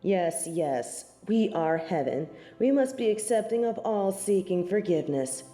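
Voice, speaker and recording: Woman acting a haughty, slow-spoken angel of judgement. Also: mid-range voice, expressive, mellow, roomy sound, faint music behind, natural voice. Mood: cold superiority. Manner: haughty, formal, archaic phrasing, disdainful. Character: snobby, haughty female angel of judgement, proud, scornful tsundere. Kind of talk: scripted audio roleplay acting.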